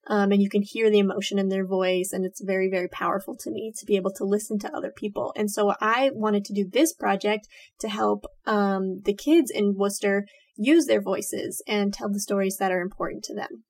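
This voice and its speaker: Young woman co-host, earnest and upbeat, mid-pitched. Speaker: podcast co-host, storyteller. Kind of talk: casual podcast hosting.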